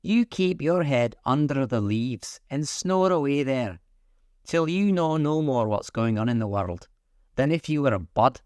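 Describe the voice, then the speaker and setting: A man's voice: medium pitch, measured pace, no room sound, pitched above the performer's own voice, lightly acted. A man voicing a passionate, bossy female tiger lily in a storybook reading. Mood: imperious. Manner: imperious, excitable.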